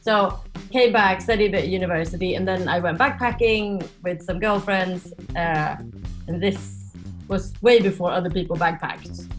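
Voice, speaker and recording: A woman's voice: fairly low pitch, moderate pace, soft background music. A Swedish-raised woman in her forties, a podcast guest chatting casually about her life and laughing often as she tells stories. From Sweden. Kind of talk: casual interview chat.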